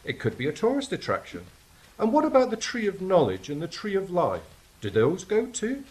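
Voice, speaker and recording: An actor playing a skeptical, wisecracking angel in a stage drama. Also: male voice, sits high, huge pitch swings, normal speed, a little mellow. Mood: scheming, flippant. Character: skeptical, wisecracking angel on celestial clean-up crew. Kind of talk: theatrical stage drama acting. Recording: clearly noisy stage recording.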